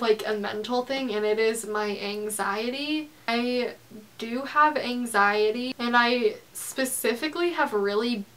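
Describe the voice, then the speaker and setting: A teenage girl with a slightly high yet flat, monotone voice, speaking candidly to a close camera in her bedroom. Her talk is blunt and heated, full of filler words and the odd swear. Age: teens. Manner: frank, heated, peppered with "like" and swearing. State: tearful. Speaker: YouTuber. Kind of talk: casual confessional vlog. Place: bedroom, indoors.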